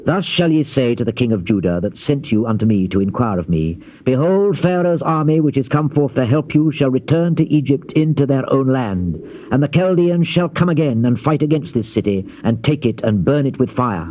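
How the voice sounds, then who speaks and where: An actor playing a grave Old Testament prophet in a dramatized scripture reading, with solemn, declamatory and measured phrasing. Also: male voice, mid-range voice, expressive, mellow, close to an everyday voice. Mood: stern, proclaiming. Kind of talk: dramatized scripture reading, classical stage delivery. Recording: dull lo-fi old cassette, faint hiss.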